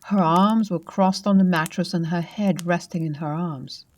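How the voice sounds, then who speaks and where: A woman narrating true ghost stories in a soft, conversational way. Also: lowish voice, moderate pace. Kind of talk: storytelling narration.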